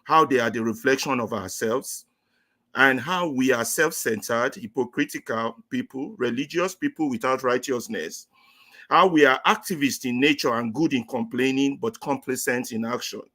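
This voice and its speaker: A man with a Nigerian accent, a host giving prepared remarks in a measured, declamatory way. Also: mid-range voice, a bit bright. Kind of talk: prepared talk-show remarks.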